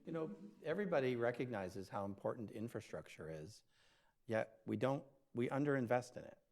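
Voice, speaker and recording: A man's voice: medium pitch, expressive, fairly quick, a little mellow. A man moderating a live conversation, posing organized questions in a friendly way. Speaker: moderator, professor.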